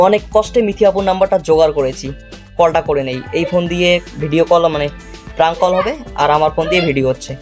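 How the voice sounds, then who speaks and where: A man's voice: on the high side, fast. A man from Bengal, speaking casually as a prank-call host in a politely deferential way. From North Bengal, India. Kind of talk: casual vlog talk and prank phone call. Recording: music behind the voice. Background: background music.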